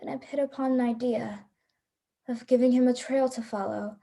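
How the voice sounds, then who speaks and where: Radio play heroine played by a woman: hunted, resolute, dramatic first-person storytelling in a soft-toned, fairly high voice. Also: steady tone, normal speed, creaky. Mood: hunted suspense. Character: frightened, resolute young woman, hunted shipwreck survivor.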